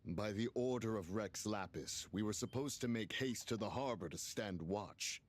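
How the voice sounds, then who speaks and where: An actor voicing a stern immortal beast in a video game, with measured, archaic and sardonic speech. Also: male voice, fairly low pitch, close to an everyday voice. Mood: dry reproach.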